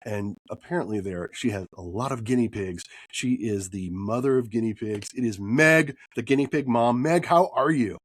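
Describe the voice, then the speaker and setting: Husky male podcast host with a cold. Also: mid-range voice, normal speed, few pauses, no echo. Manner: upbeat, often adds "right?". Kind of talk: casual podcast interview.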